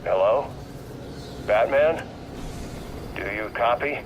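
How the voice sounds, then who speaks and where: Tired game cop on a dull noisy radio, lowish voice, kinda hesitant, matter of fact. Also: male voice, slow pace. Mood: hesitant, concerned. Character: weary police officer, hesitant but dutiful. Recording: dull radio transmission with loud noise.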